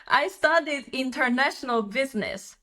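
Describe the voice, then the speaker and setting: A woman's voice: high voice, expressive, unhurried, bright, forceful tone. A woman from China, chatting as an interview guest. She laughs often and fills her talk with "uh" and "like.". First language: Chinese. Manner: chatty, laughing, with frequent fillers. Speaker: guest, company co-founder. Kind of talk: casual interview.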